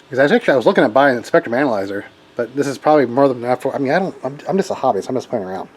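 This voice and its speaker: A man's voice: mid-range voice, expressive, racing, breathy edge. A man giving a casual hands-on tech demo as a hobbyist, talking informally and rambling as he thinks aloud. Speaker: hobbyist radio operator demonstrating equipment.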